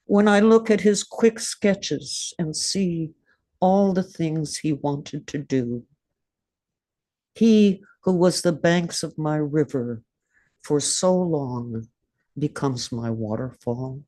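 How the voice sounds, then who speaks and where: An older woman reading her own poem over a video call, soft and halting. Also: low-pitched, dry recording. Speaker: poet reading her work. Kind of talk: poetry reading and casual chat.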